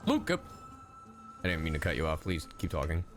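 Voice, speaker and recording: A man's voice: a bass voice, huge pitch swings, a little fast. A man streaming a game live, commenting playfully and theatrically, often exclaiming and repeating himself. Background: game music.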